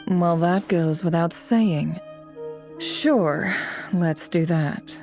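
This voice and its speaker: An actress playing a cool, shrewd, aloof woman in a video game, measured and dry. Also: on the low side, a little mellow, soft background music. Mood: cool, unimpressed. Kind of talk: video game character acting.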